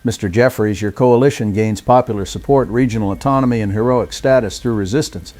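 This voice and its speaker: A man's voice: fairly low pitch, a little fast, a little mellow, natural voice. A man playing a calculating corporate executive villain in an audio drama, speaking in formal business phrases. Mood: smug, businesslike.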